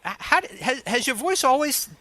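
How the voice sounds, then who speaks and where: A man's voice: extremely high pitch, big pitch swings, moderate pace, sharp tone, dry recording. A man hosting an interview show, moving between scripted announcements and conversational questions. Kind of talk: scripted introduction and ads, then interview chat.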